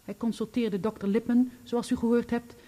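An actress in a Dutch radio play, playing a loyal, bereaved woman who testifies in measured, articulate, formal sentences. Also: a little high, steady tone, warm tone, natural voice. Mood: earnest, calm.

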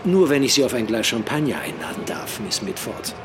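A man's voice: medium pitch, big pitch swings, brisk, music in the background, lightly acted. A man playing a suave, flirtatious German-American socialite in an audio documentary drama.

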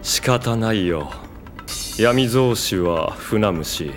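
An actor playing a menacing anime villain boss, giving orders in a casual, commanding way. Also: male voice, lowish voice, animated, very slow, music in the background. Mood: cool, commanding. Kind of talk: anime voice acting.

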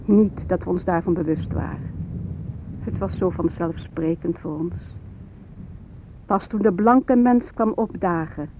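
An actor playing a solemn Native storyteller who recites his people's memory in measured, incantatory verse for a radio play. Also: sits high, a little hiss or hum. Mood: solemn, mournful. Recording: tinny phone-like audio under prominent music.

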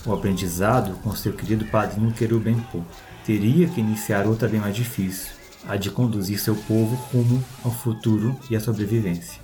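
A man narrating a scripted story in a measured storytelling manner. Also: fairly low pitch, fairly even intonation, warm tone. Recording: faint nature ambience, insects behind.

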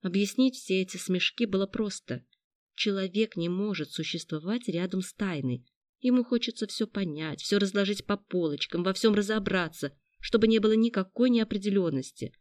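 Brief: steady novel narrator, mid-pitched, dry close-mic studio sound. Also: normal speed, dry recording. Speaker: audiobook narrator. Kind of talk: scripted narration.

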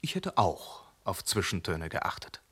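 A calm, probing police inspector. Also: male voice, low-pitched, measured pace, loud music behind the voice. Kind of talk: radio play acting.

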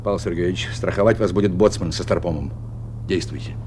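An actor playing an authoritative, careful submarine commander in a radio drama, with clipped commanding delivery. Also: male voice, low-pitched, brisk, background noise, close to an everyday voice. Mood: firm, concerned. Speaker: naval commander. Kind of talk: Soviet radio drama acting.

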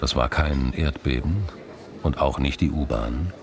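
Male narrator, deep register, literary crime radio drama, scripted read. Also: measured pace, crowd noise.

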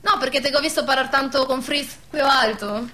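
Woman playing nosy teasing peasant woman, high sharp voice, rural southern lazio accent, bold, wartime radio play. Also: normal speed. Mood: prying. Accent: rural southern Lazio, central Italy. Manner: prodding, teasing. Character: teasing, bold, inquisitive Italian village woman. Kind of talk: dialect stage drama acting.

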